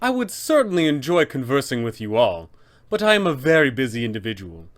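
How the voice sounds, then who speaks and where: A man's voice: mid-range voice, huge pitch swings, brisk. A man voicing an officious, polite, fussy undead tomb custodian with formal announcer phrasing, as a tabletop villain. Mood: fascinated, fussy.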